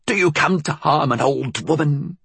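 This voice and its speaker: A man voicing a frail, vicious old blind woman in a story, hissing and husky, with a cunning, formal way of speaking. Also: on the high side, sing-song, dry recording, pitched above the performer's own voice, exaggerated voice. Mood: hissing suspicion. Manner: slow, cunning, menacing, formal.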